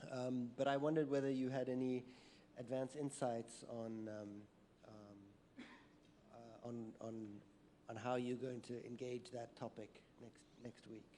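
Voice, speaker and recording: A man moderating a panel discussion in a casual way, often pausing with "um". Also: medium pitch, flat delivery, dark tone, slight background noise. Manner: casual, fills pauses with um.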